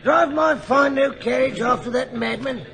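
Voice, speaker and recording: An actor playing an excitable, money-minded London cab driver, blustering in an old radio drama. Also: male voice, extremely high pitch, moderate pace, creaky, full character voice. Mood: alarmed protest. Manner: exclaiming, blustery. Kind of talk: 1940s radio acting. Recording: old 1940s radio recording.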